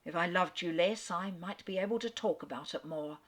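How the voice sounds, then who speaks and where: A woman reading the lines of an earnest gentleman who halts, then declares his love with solemn urgency. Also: lowish voice, normal speed, close to an everyday voice. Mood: tender.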